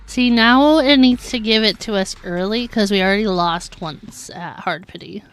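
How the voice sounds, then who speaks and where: A woman's voice: mid-range voice, normal speed, clear, resonant tone, faint music behind. A woman livestreaming a game, talking casually in a chatty, giggly, informal way. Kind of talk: casual live gaming commentary.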